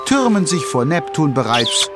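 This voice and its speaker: A man narrating a children's cartoon radio play as a lively storyteller. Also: a little high, moderate pace, over loud music. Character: animated male storyteller of a cartoon tale. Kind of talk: lively scripted children's audio-drama narration.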